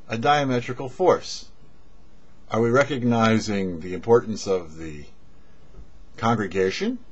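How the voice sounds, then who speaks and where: A middle-aged man with a husky voice at an average pitch speaks as a rabbi teaching scripture. He is measured and explanatory, leaving deliberate pauses between phrases. The audio is dull and lo-fi. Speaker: rabbi and show host. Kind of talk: short religious teaching to camera. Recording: dull, lo-fi webcam-style audio.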